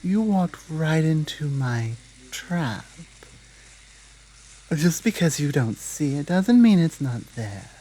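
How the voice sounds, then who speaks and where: A woman playing a dominant, menacing female werewolf pack leader in a slow roleplay ASMR drama, speaking deliberately and with taunting command. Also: deep voice, very animated, warm tone, heavily acted, low-quality recording.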